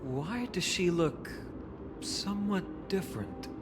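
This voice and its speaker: Expressive young hero. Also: male voice, high-pitched, slow, background noise. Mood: polite concern. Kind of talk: video game character acting. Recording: game music behind the voice.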